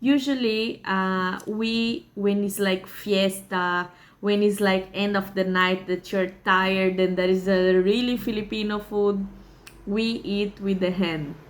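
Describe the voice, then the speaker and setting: Brazilian-accented young woman, vlogger; casual, hesitant delivery, mid pitch. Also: fairly even intonation. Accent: Brazilian Portuguese. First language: Portuguese. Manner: halting and hesitant, many fillers. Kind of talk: casual vlog chat.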